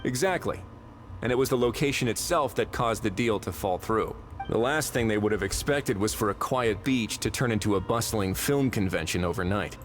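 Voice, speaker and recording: Actor playing shrewd male official, lowish voice, composed, dryly wry, game dialogue, faint music underneath. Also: normal speed, natural voice. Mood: analytical, composed. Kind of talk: video game voice acting.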